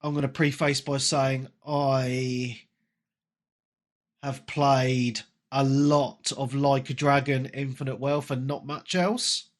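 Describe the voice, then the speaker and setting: A man's voice: mid-range voice, flat delivery, takes it slowly. A man in his late thirties hosting a gaming podcast, stuffed up with a cold and talking chattily with plenty of ums. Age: 30s. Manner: chatty, self-mocking, frequent ums. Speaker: podcast host. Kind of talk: casual gaming podcast chat.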